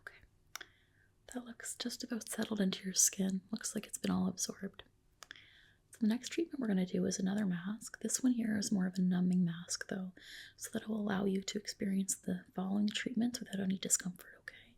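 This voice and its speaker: A woman whispering softly and breathily in a soothing, reassuring ASMR roleplay as a dermatologist, close to the microphone. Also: medium pitch, fairly even intonation, creaky, slightly rough. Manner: soothing, reassuring, unhurried explanations.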